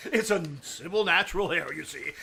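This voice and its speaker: A man's voice: high voice, lively intonation, fairly quick, gravelly, pushed and bright, breathy edge, full character voice. A man playing a flustered, apologetic school principal who stammers and repeats himself, in a live old-time radio comedy. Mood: flustered pleading. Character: flustered, apologetic male school principal, blustering with his superior. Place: small-town theater stage, live performance. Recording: noisy live theater stage recording.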